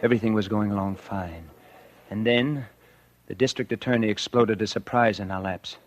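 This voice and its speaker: A man's voice: on the low side, moderate pace, natural voice. An actor playing a guilt-ridden murderer who narrates his own downfall in a 1940s radio drama. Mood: brooding, tense. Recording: 1949 radio recording with music.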